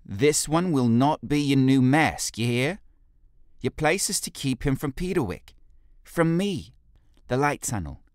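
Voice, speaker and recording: A man's voice: mid-range voice, lively intonation, measured pace, dry recording, clean studio sound, pitched above the performer's own voice. A man voicing a commanding, fierce female ape pack leader in an audiobook, curt and demanding.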